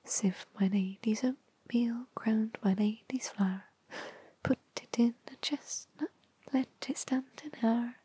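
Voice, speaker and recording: A woman's voice: on the high side, slow pace, warm tone, husky, full character voice. A woman whispering as cheeky little singing mice. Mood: playful. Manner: whispered sing-song.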